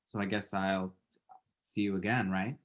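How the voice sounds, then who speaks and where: A man's voice: low voice, steady tone, moderate pace, lightly acted. An actor playing an awkward, wry, lovestruck young man in a screenplay table read, hesitant and self-deprecating. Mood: shy, wry.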